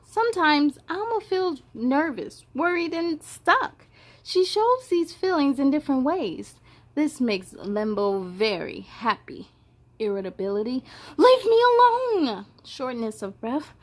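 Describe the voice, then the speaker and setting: Female children's story host, high voice, expressive and playful read-aloud delivery. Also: unhurried. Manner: expressive, playful, addresses young listeners directly.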